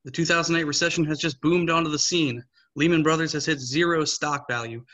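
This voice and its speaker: A young man reading scene directions in a plain, steady way over a video call. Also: fairly high pitch, a little fast. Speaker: stage direction reader. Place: small home room. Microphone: webcam on a video call. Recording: slightly muffled webcam audio.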